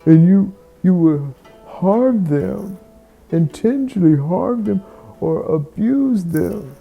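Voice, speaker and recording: A person telling a reflective bedtime story in a slow, halting, musing way, often repeating words. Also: medium pitch, animated, vocal fry, breathy edge, narrow, tinny audio, faint music behind.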